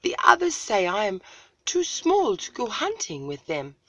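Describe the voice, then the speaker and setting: A woman's voice: mid-range voice, wildly swooping pitch, unhurried, no room sound, muffled recording, voice pushed up. Woman reading as an earnest small storybook boy. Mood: hopeful, pleading. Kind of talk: storybook read-aloud character voice.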